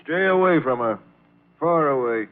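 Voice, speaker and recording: An old-time radio drama cook played by a man, older and folksy, with a slangy, knowing way of talking. His voice sits a bit high for a man and comes through a tinny, narrow-band vintage recording. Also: expressive, normal speed, close to an everyday voice. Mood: gossipy, warning. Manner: slangy, folksy, drawling asides. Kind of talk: 1940s radio acting.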